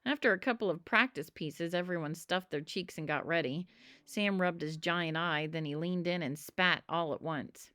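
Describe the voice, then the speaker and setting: A woman reading a children's storybook aloud in an expressive narrating style. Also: fairly low pitch, normal speed, dry recording, studio quality. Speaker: storybook reader.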